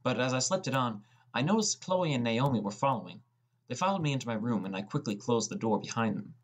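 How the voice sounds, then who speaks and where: A man's voice: medium pitch, lively intonation, fast talker, natural voice. A man playing a sullen, defensive teenage boy who narrates his own story in a scripted audiobook. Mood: narrating.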